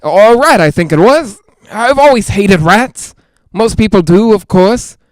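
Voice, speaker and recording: A male actor playing a nervous, lonely broadcaster in a 1940s-style radio drama, rambling and halting as he tries to reassure himself. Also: sits high, animated, no room sound, low-quality recording. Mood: anxious, rattled. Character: jittery, lonely man broadcasting alone from haunted house.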